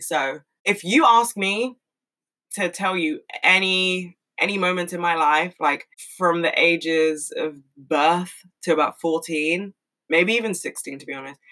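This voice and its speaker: Frank young woman with a bright voice. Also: fairly low pitch, rough recording. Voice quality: monotonous voice. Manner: frank, swears often, rambling with many "like"s. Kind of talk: casual monologue.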